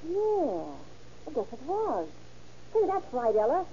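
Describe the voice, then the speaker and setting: A woman's voice: high-pitched, big pitch swings, unhurried, dark tone, a little hiss or hum. An actress playing a brassy young woman who dated a gangster, in an old radio drama, talking in streetwise slang. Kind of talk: 1930s radio acting. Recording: dull old radio recording.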